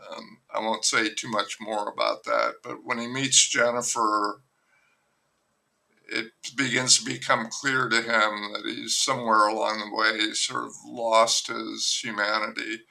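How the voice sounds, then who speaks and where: An older man, a novelist, talking through his book in a relaxed, thoughtful interview, pausing often and restarting sentences. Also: fairly low pitch, vocal fry, rough recording. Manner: unhurried, thoughtful, with frequent fillers and restarts. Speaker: novelist guest. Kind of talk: reflective conversational interview.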